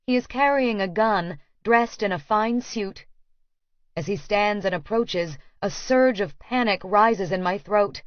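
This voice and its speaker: Woman, audiobook narration, first-person novel, medium pitch, close dry sound, lo-fi dullness. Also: a little slow, dry recording.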